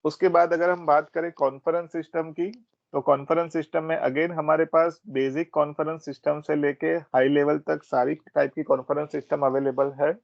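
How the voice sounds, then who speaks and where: A man's voice: fairly high pitch, level tone, moderate pace, vocal fry, dry recording. A man with an Indian accent giving an informal, explanatory training presentation, mixing Hindi and English. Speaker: technical product trainer at a systems company. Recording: dull, band-limited close audio.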